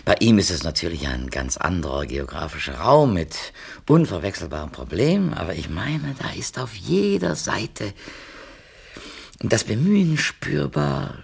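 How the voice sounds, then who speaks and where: An actor playing a well-meaning, talkative publisher's editor in a 1970s German radio play, speaking in wordy, tentative phrases. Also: male voice, sits low, very animated, measured pace, gravelly, breathy edge, full character voice. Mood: earnest. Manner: wordy, tentative, self-qualifying.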